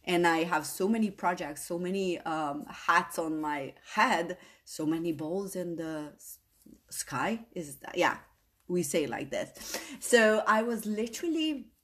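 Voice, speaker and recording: Slow-talking woman host, English. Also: lowish voice, expressive. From Slovenia. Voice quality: non-native English phrasing. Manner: earnest, rambling, tag questions. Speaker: podcast host and coach. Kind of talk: casual motivational monologue.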